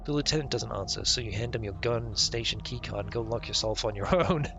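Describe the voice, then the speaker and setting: A man's voice: fairly low pitch, brisk, gravelly. A man reading visual novel text aloud as narration, with game music playing behind him.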